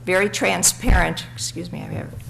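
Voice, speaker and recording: A woman with a raspy voice chairing a city commission meeting in an informal, chatty way. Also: fairly low pitch, steady tone, fairly quick, a bit bright, soft background music, poor audio. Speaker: presiding commissioner.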